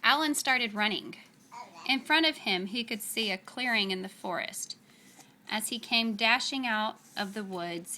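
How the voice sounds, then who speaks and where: A woman reading a children's story aloud with expressive, deliberate, dramatic phrasing. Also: mid-range voice, slight background noise.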